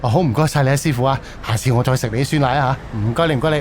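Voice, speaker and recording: A man's voice: mid-range voice, very fast, background noise, lightly acted. A man playing a polite, earnest young haunted-house owner in a Cantonese audio drama, speaking conversationally.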